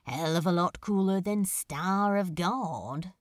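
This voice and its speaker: A woman's voice: fairly low pitch, takes it slowly, no room sound, studio quality, voice pushed down. A woman voicing a male demon lover with a casual, hissing murmur in an audiobook. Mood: fond. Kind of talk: audiobook character acting.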